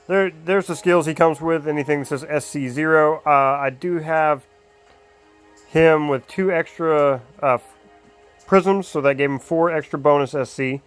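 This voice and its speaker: Chatty man with a slightly hoarse voice. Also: on the high side, fairly even intonation, normal speed, soft background music. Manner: chatty, rambling, lots of ums and uhs. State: slightly hoarse, losing his voice. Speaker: mobile game guide creator. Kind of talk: casual game guide commentary.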